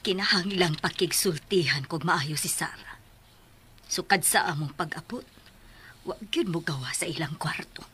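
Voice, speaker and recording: A woman's voice: on the low side, moderate pace, sharp tone, a little hiss or hum. A woman in a Visayan Filipino radio drama playing a middle-aged, bossy, short-tempered matriarch. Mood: suspicious, hushed. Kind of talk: broadcast radio drama acting.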